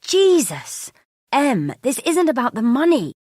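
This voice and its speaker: A woman's voice: mid-range voice, expressive, measured pace, a little mellow, dry recording, studio quality, pitched above the performer's own voice. A woman voicing a stern, exasperated husband holding back his anger in an audiobook story, firm and confronting.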